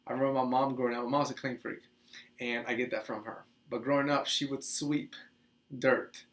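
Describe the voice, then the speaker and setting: A man in his thirties, from the Dominican Republic, hosting a casual teaching video, talking with lively hand gestures in a small office. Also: mid-range voice, a little fast. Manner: animated, gestures a lot, chatty with restarts. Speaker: host. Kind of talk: casual teaching monologue to camera. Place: small office with couch, indoors.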